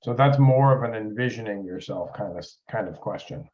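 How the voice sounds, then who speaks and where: A man facilitating a group training discussion in a deliberate, explanatory way, often rephrasing questions. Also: on the low side, a little mellow. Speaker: meeting facilitator. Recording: dull lo-fi meeting audio.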